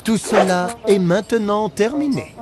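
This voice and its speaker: A man voicing an excitable, boastful inventor in a cartoon, with an enthusiastic salesman's delivery. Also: high voice, unhurried, loud music behind the voice. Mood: pompous pitch. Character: excitable, boastful male inventor of robotic scooters. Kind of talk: cartoon voice acting.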